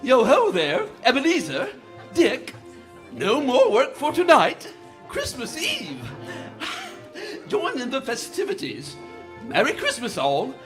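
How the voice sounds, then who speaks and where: A jolly, generous old shopkeeper for a live radio play, proclaiming heartily at an unhurried pace. The pitch is extremely high for a man and leaps all over, with music behind. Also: huge pitch swings, full character voice. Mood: festive. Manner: hearty chuckling, proclaiming salesman patter.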